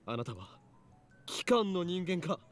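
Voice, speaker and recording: Suspicious self-styled mad scientist, young, theatrical, animated pitch, game dialogue over music. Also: male voice, brisk. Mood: suspicious, grave. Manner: dramatic, suspicious. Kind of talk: Japanese visual novel voice acting.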